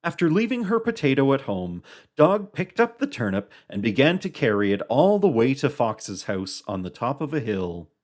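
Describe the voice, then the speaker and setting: A narrator reading a scripted children's puppet show story with an expressive storybook delivery. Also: mid-range voice, normal speed.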